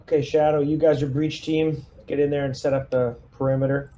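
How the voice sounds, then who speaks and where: A man's voice: fairly high pitch, flat delivery, brisk, warm tone, a little hiss or hum. A man playing a tabletop game, giving squad orders in character.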